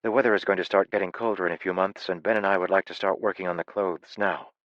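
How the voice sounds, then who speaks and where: A man's voice: low voice, steady tone, fast, a bit bright, dry recording, dull audio, pitched above the performer's own voice. A man voicing a cautious, sensible young woman in an audiobook, speaking in a cautious, patient way.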